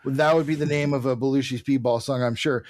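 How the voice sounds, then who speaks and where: A man's voice: mid-range voice, fairly even intonation, fairly quick, a little mellow, no echo. A man co-hosting a podcast in casual chat, telling things in a rambling, digressive way. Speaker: podcast co-host.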